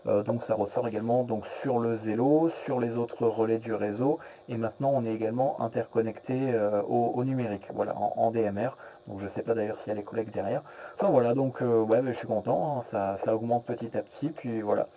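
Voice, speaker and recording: A man chatting casually as a radio operator, halting and often repeating his words. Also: medium pitch, fairly even intonation, warm tone, low-quality recording. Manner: hesitant, repeats words, trailing "hein" and "voilà". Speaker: amateur radio operator, relay network host. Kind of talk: casual radio contact chat.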